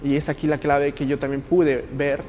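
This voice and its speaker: Higher-pitched, halting man in earnest conversation. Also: little pitch movement, darkish tone, a little hiss or hum. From Italy. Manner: halting, repetitive, passionate. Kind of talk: podcast interview, earnest testimony and advice.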